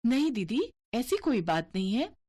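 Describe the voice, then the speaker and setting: A sweet young bride from a cartoon family story, acted by a woman. She is innocent and polite but frank, with an animated, expressive rise and fall. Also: fairly high pitch, moderate pace, husky, no room sound. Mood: timid, polite. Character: sweet, simple young bride who bakes cakes.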